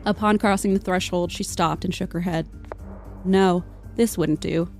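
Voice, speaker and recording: A woman's voice: lowish voice, steady tone, normal speed, a little mellow. A woman narrating a scripted comedic tale in a mock-dramatic, literary manner. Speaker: podcast storyteller. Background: music under the voice.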